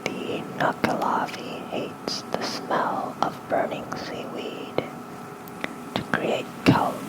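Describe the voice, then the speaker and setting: Soft, slow ASMR whisperer. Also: low-quality recording. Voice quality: soft breathy unvoiced whisper. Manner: slow, gentle, unhurried. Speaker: ASMR creator reading lore. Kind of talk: ASMR whispered reading with casual asides. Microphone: close ASMR mic with fluffy cover.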